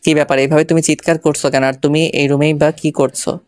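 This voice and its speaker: Man acting an even-toned, irritable young husband. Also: on the high side, fairly quick, no echo, studio quality, lightly acted. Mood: scolding. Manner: curt, scolding.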